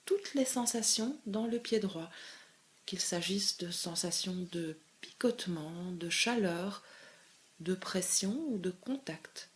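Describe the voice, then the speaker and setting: A woman leading a guided meditation as a calm, measured guide, giving gentle step-by-step instructions with pauses. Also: medium pitch. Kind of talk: guided body scan meditation.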